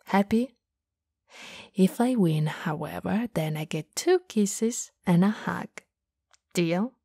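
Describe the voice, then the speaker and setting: A woman performs a playful, teasing goth older sister in a romantic ASMR roleplay. Her voice is dark and husky with breath, often slipping toward a whisper. She sits very close to the mic in a dry, intimate recording. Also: on the low side, lively intonation, slow, dry recording, exaggerated voice. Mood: flirty, teasing. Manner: soft, breathy, drifting into whispers. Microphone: close, dry studio mic.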